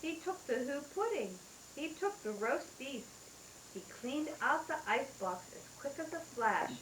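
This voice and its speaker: A woman's voice: high voice, sing-song, measured pace, a bit bright, roomy sound, slight background noise, poor audio. A mother reading a rhyming bedtime story aloud in a sing-song way, taped at home.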